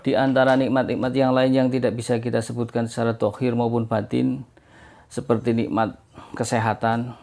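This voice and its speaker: Hoarse, gravelly man presenting. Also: mid-range voice, little pitch movement, normal speed, a little mellow. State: hoarse, under the weather. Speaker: host of a heirloom dagger culture channel. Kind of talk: informal explanatory presentation to viewers.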